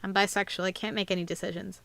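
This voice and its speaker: A woman's voice: medium pitch, flat delivery, quick pace, vocal fry, a bit bright. A young woman hosting a casual livestream Q&A, chatting in a rambling, informal way. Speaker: host, amateur voice actor.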